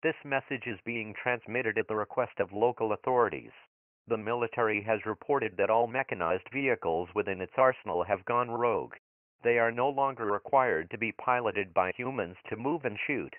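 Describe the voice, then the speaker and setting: A low male voice comes through a tinny, phone-like broadcast filter, very dry. A man plays an impersonal emergency alert announcer, reading official notices in a flat, formal manner. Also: moderate pace, no room sound. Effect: radio broadcast filter.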